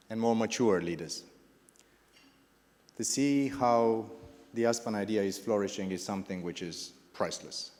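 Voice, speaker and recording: A middle-aged man with a Romanian accent gives a halting welcome speech as founding president, restarting his phrases and piling up lists of words. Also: on the low side, a little mellow. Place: large indoor gala hall. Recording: reverberant banquet hall over a PA.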